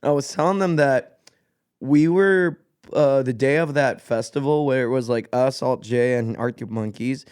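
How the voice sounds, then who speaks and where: A young man from Greece, a guest musician chatting casually on a podcast, animated and full of "like" and "bro". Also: mid-range voice, moderate pace, clean tone. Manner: animated, laughing, lots of "like" and "bro". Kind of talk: casual storytelling chat. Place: podcast studio.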